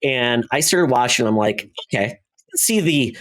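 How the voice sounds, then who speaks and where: A man with a mid-pitched voice talks casually as a podcast co-host. He rambles through his review in a halting way, often breaking off and restarting his own sentences. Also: animated, a bit bright. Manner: halting, rambling, self-interrupting.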